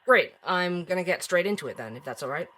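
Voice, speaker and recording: Actor as wry, mid-pitched investigative podcaster. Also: lively intonation, fast, a bit bright, close to an everyday voice. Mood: probing, conversational. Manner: wry, conversational. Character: dry-witted, persistent investigative podcaster. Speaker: podcast host and narrator. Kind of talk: scripted audio drama narration and interviewing.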